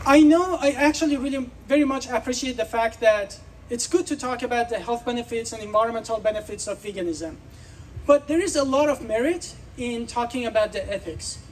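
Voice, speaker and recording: A man's voice: very high-pitched, fairly quick. Man from Iran, persuasive festival speech, distant mic, faint background noise. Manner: earnest, persuasive, addresses crowd with questions. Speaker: speaker. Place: outdoor festival.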